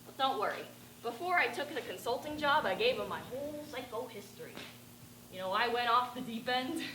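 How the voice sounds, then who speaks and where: A teenage girl playing an eccentric, rambling bag lady who talks to aliens, with a raspy voice and a ranting, confiding stage-monologue delivery. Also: a little high, expressive, a little fast, pushed and bright. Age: teens. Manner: ranting, confiding, addressing passers-by. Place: stage performance. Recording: echoey room with background noise.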